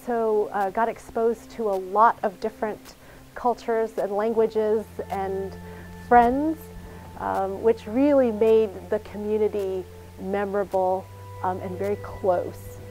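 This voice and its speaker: Reflective soldier woman, mellow voice. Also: mid-range voice, fairly even intonation, soft background music, rough recording. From Afghanistan. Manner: reflective, many filler pauses. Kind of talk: documentary interview.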